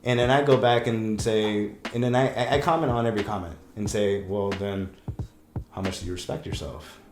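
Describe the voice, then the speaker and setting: A man, a breakup coach, talking casually on a podcast, telling stories with lots of restarts and quick laughs. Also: on the low side, roomy sound, over music. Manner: animated storyteller, laughs often, rambling restarts.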